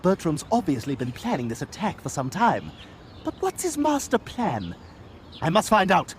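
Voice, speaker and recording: A man's voice: high-pitched, huge pitch swings, normal speed, a little hiss or hum. A man playing a haughty, scheming baby genius in a cartoon video game, with a dry, theatrical manner. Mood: scornful, determined. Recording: loud game music over the voice.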